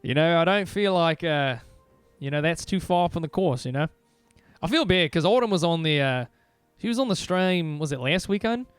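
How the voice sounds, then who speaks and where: A man's voice: on the high side, lively intonation, normal speed, clear, resonant tone, dry recording, faint music behind, natural voice. A man putting on an Australian accent for casual, playful, rambling livestream banter. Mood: rambling, apologetic. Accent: put-on Australian.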